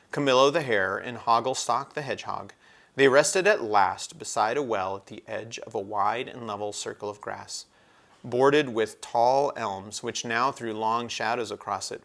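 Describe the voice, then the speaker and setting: A man's voice: medium pitch, vocal fry, a bit bright. A man narrating a children's storybook as a read-aloud. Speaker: storybook reader.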